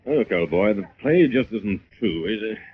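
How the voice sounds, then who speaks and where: Casting: man as suave young socialite sleuth, lowish voice, skeptical, slightly noisy vintage radio sound. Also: animated, normal speed, close to an everyday voice. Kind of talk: 1940s radio acting. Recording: muffled, hissy old radio recording.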